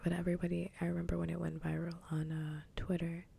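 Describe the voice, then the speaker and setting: A woman speaks softly and mostly in whispers, in the manner of a casual ASMR livestream. Her voice sits low and is dark and mellow, breaking into creak where it is voiced. She is close to the microphone. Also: completely flat delivery, fast. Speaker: livestreamer host. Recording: faint background noise, low rumble.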